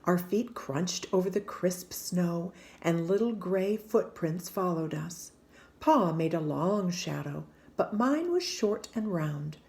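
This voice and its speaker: A woman reading a children's picture book aloud in a gentle, hushed and expressive storytelling manner. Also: lowish voice, slow pace, gravelly. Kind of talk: gentle scripted read-aloud narration.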